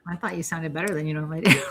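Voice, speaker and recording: A woman hosting a live-streamed spirit reading as a psychic medium, chatty and often clearing an irritated throat. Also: sits low, a bit bright, a little hiss or hum. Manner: chatty, keeps clearing her throat and coughing.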